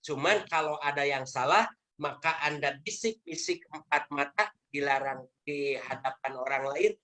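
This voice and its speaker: A woman's voice: deep voice, moderate pace, sharp tone, soft background music. A woman leading a motivational network marketing training over a video call, speaking emphatically in a lecturing manner and posing rhetorical questions.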